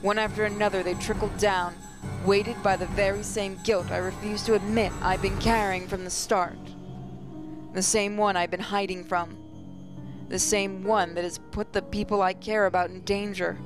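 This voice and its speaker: A woman's voice: mid-range voice, level tone, moderate pace, over music. A woman gaming host reads a visual novel aloud as dramatic, emotive first-person narration.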